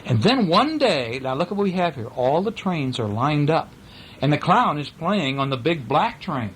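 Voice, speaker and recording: A man's voice: a little high, very animated, normal speed, a little hiss or hum, poor audio. A man reading a bedtime story to his young children in a casual, playful way, breaking off to ask questions and act out sound effects.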